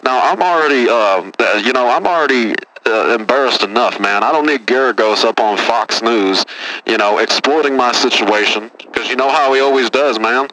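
A man's voice: medium pitch, normal speed, sharp tone, no room sound, natural voice, low-quality recording. A man playing a boastful, touchy caller from Texas who says "man" after nearly every phrase, in a comedy radio bit. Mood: whiny, indignant. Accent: Texan.

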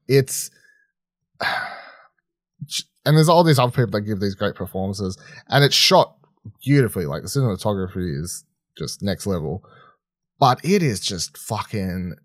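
A man's voice: lowish voice, no echo, studio quality. A man co-hosting a podcast, giving casual film reviews in a rambling, informal, sweary way. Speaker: podcast co-host reviewing films.

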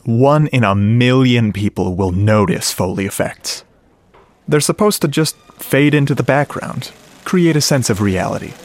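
Actor as chatty, enthusiastic radio audio geek. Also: male voice, medium pitch, lively intonation, measured pace, a little mellow, slight background noise, close to an everyday voice. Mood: casual, eager. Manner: casual, explanatory, rhetorical questions to listener. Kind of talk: conversational radio drama monologue.

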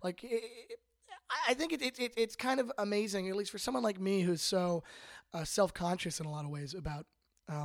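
A man's voice: high-pitched, no room sound, studio quality. A young man hosting a podcast, talking casually with lots of fillers and restarts. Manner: halting, many fillers and restarts. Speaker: podcast host.

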